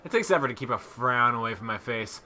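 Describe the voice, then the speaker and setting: Bright-voiced young man playing games. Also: medium pitch, expressive, fast. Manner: jokey, breaks off reading to comment. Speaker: gaming channel host. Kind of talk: casual let's play chat and read-aloud of game text.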